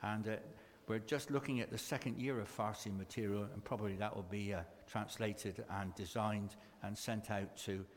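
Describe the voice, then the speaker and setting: A man with a mission group giving a chatty church talk and a children's story, halting with fillers. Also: lowish voice, steady tone. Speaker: mission worker speaking at church. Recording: echoey hall reverb.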